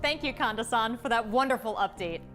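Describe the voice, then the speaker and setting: A woman with a high voice hosts a showcase from a script. Her delivery is upbeat and presentational, over faint music. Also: a little fast, a bit bright.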